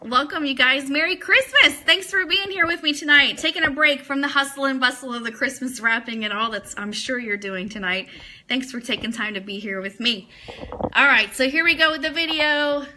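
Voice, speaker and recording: A woman's voice: high voice, moderate pace, pushed and bright, a little hiss or hum. A woman chatting casually and enthusiastically as host of a fan talk show livestream, greeting viewers as she goes. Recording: music playing in the background. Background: Christmas music.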